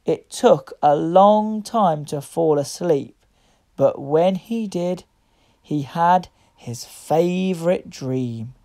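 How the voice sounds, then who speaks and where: A woman reading a picture book aloud to young children, with deliberate, expressive phrasing. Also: lowish voice. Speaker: children's story reader.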